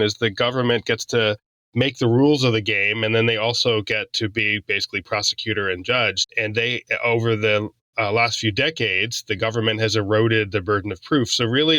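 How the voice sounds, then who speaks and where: A man's voice: on the low side, sharp tone, no room sound, rough recording. A man speaking as a guest expert, explaining in a casual, conversational way. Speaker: law professor, podcast guest.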